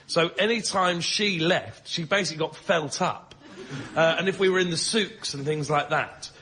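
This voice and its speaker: Bright, high-voiced male comedian. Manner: emphatic, deliberately laboured phrasing. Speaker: stand-up comedian, panel show guest. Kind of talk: comic panel show talk. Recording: live show with faint background noise.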